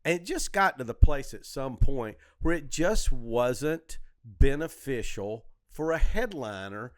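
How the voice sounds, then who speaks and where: A man speaks as a podcast host in loose, casual conversation. His pitch is average for a man. He rambles, circles back over his own phrases and uses some salty language. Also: expressive, measured pace. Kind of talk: casual podcast chat about comedy.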